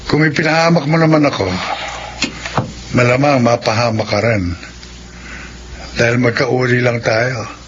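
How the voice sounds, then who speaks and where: A man acts out a scheming male aswang in a Filipino horror radio play. He is sly and boastful, possessive in temper. His mid-pitched voice comes through a dull recording with clear background noise. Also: steady tone, measured pace, long pauses, natural voice. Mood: sly, defensive.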